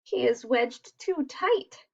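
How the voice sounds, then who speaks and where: A woman's voice: sits high, expressive, takes it slowly, vocal fry, darkish tone, highs cut off, exaggerated voice. A woman voicing a tiny, eager, friendly mouse with a squealing, exclamatory delivery in a children's picture book. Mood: concerned.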